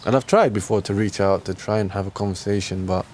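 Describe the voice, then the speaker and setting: A man's voice: fairly low pitch, quick pace, darkish tone, a little hiss or hum. A man being interviewed outdoors, talking casually and candidly, repeating phrases for emphasis and checking in as he goes. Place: outdoors on a park bench.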